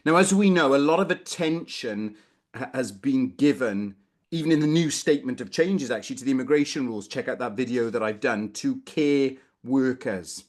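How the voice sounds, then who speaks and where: A man's voice: medium pitch, normal speed. Middle-aged man presenting a legal webinar. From Wales. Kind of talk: conversational legal lecture. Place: small room indoors, video call.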